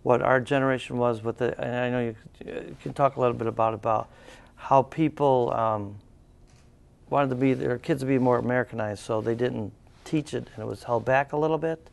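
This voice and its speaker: A man hosting a talk show interview, speaking haltingly with many uhs and restarts. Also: medium pitch, steady tone, gravelly. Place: cable TV studio.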